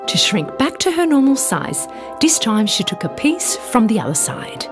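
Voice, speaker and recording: A woman reading a scripted bedtime story for children in an expressive, deliberate storytelling manner. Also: a little high. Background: background music.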